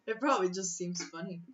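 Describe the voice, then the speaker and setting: A woman's voice: medium pitch, expressive, moderate pace, slight background noise. Chatty woman streamer, slightly distant mic. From former USSR. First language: Russian. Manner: chatty, self-interrupting, laughs often. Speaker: chess streamer. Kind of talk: casual thinking aloud while solving chess puzzles. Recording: slightly distant, muffled mic with faint music. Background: faint music.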